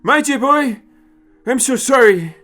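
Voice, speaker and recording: Man doing an elderly doctor, high and fussy. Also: lively intonation, takes it slowly, bright, forceful tone, exaggerated voice. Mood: sympathetic, grave. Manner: fussy hesitations, old-fashioned phrasing. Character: elderly male doctor, kindly, brisk, patronising.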